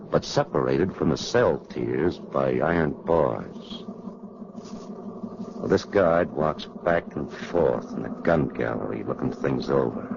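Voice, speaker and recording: A man's voice: a bass voice, vocal fry, full character voice. An actor playing a cocky, sneering convict in slangy tough-guy talk, in a 1940s radio crime drama. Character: tough, cocky male convict plotting a prison break. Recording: noisy, muffled 1940s radio recording.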